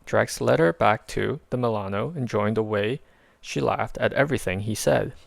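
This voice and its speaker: A man narrating a children's story in a measured, expressive read-aloud style. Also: fairly low pitch, vocal fry, no echo. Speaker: storybook reader.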